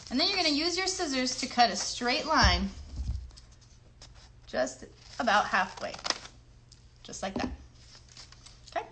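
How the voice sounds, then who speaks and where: A woman with a high, bright voice leads a craft demonstration for children. She keeps directions simple, encourages, and checks in with questions, with faint noise behind her. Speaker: children's craft show host.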